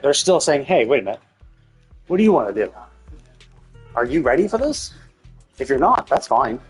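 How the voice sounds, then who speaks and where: A man's voice: a little high, husky. A man streaming a game, giving casual, rambling commentary as he thinks aloud and swears freely. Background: game music.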